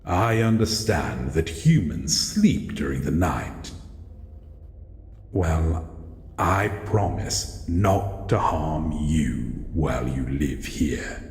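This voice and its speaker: Casting: male actor as shadow creature, extremely low voice, formal and guarded yet courteous, audio roleplay, heavy echoing reverb. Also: lively intonation, gravelly, a little mellow, full character voice. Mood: calm, guarded. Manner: slow, formal, measured, calls listener human. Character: solitary male shadow monster, guarded but courteous, lonely. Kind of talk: scripted audio roleplay addressed to the listener. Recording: echoey, long reverb, faint noise.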